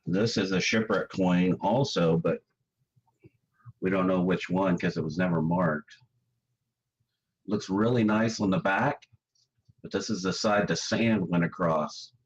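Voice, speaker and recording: A hoarse man with a cold, calmly showing and explaining coins as a guest over a video call. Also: low voice, a little slow, long pauses. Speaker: guest coin collector. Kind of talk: casual show-and-tell chat. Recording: dull lo-fi video call audio.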